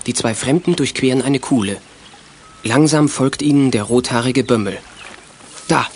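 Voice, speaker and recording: A man's voice: medium pitch, normal speed, slight background noise, lightly acted. A man narrating a children's detective radio play as its storyteller. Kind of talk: scripted narration.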